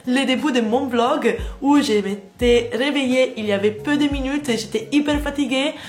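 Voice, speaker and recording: A woman's voice: high-pitched, normal speed. A young woman in her twenties from Italy, speaking with an Italian accent and chatting casually as a vlogger in a language that is not her first, with many fillers. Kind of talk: casual vlog chat.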